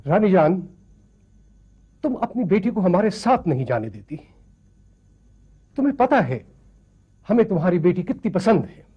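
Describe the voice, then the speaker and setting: A pompous colonial sahib, high and husky. He declaims slowly and swoops about, imperious and quick to take offence, in theatrical television drama style. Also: sing-song, highs cut off, exaggerated voice. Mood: boastful, displeased. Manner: haughty, slow, declamatory. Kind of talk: theatrical screen drama acting.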